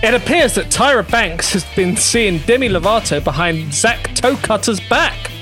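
A high-voiced man hosts a comedy podcast, reading a gossip segment aloud and laughing through it. Music plays clearly under his voice. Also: animated, normal speed. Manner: giggly, keeps laughing mid-sentence. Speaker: podcast host.

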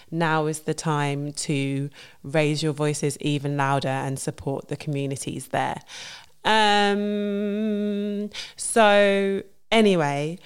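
A woman's voice: low-pitched, smooth. A young woman hosting her own show, explaining history in a chatty way with fillers and self-corrections. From not France. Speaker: history educator and show host. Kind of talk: casual spoken history explainer.

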